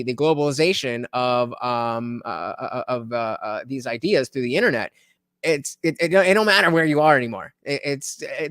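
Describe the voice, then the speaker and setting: Brief: male stream host, higher voice, stumbling fillers, dead-dry close mic. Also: fairly bright tone, no echo. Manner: halting, many restarts and fillers; reads chat comments aloud. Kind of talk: live stream panel chat.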